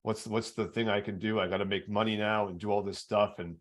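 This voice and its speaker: An earnest male podcast host with a low baritone voice shares a personal story. He restarts often and repeats words as he gathers his thoughts. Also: fairly even intonation, fairly quick.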